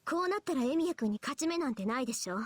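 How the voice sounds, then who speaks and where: A woman's voice: sits high, animated, very fast, no room sound, studio quality. Haughty teenage girl mage. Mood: triumphant, commanding. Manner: haughty, taunting. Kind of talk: Japanese visual novel voice acting.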